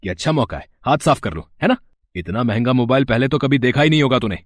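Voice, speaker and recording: Man voicing a spoiled rich cartoon husband, scornful, harsh, mid-pitched, dry close sound. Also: lively intonation, fast talker, no room sound, natural voice. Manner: scornful, harsh, shouting insults. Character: arrogant rich young husband, cruel and spoiled. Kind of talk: cartoon voice acting.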